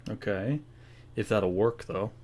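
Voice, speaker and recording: A man's voice: lowish voice, slow pace, darkish tone. A man giving casual, laid-back, joking commentary as a gaming streamer during a playthrough.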